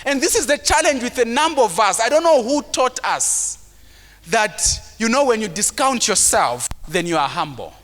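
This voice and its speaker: A man gives a motivational talk at a conference, preachy and sometimes shouting his points. His voice sits very high and sounds bright and forceful, with a mild stammer he works around. It rings out with the long reverb of a big hall. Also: lively intonation, moderate pace. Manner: preachy, rising to shouts for emphasis; acts out timid voices of self-doubting people. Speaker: motivational speaker. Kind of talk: motivational talk to a live audience.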